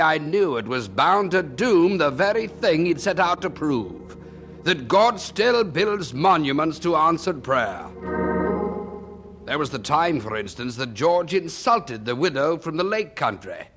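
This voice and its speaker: A man's voice: on the high side, a bit bright, a little hiss or hum. An actor playing a candid, reflective man who narrates a radio drama in a wry, folksy first person. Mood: confiding. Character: wry, candid man recalling his exasperating old friend.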